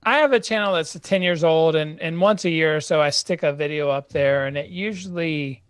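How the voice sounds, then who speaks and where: Hesitant co-host man, higher voice. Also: moderate pace, fairly bright tone, smooth, no room sound. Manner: hesitant, filler-heavy, joking. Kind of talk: live-stream Q&A chat.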